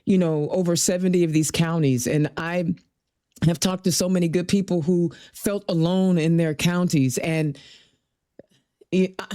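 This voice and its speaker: A woman in her fifties hosting a podcast, chatting in a folksy, animated and playful way. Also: on the low side, moderate pace. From Indiana. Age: 50s. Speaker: podcast host. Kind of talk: casual interview chat.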